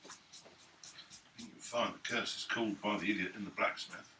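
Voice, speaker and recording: Bright-voiced man, roomy distant recording. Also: fairly low pitch, fairly even intonation, normal speed. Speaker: tabletop role-playing game player. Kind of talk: casual in-character game banter. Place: room around a gaming table. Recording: roomy, distant mic, faint noise.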